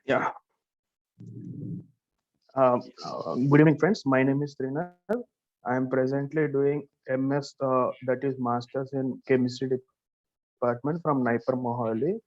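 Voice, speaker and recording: A young man from southern India with a Telugu-influenced English accent, a student giving a hesitant practice talk with many pauses. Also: mid-range voice, fairly even intonation, a little mellow, dull audio. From Andhra Pradesh, India. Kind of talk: practice speaking test.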